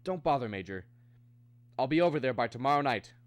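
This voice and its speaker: An actor playing an anxious, insistent man in a radio drama, both narrating and speaking halting, repetitive lines. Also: mid-range voice, animated, natural voice. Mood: demanding. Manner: halting, repeats words when agitated. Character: anxious, insistent man searching for his missing brother. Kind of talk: radio drama acting with first-person narration.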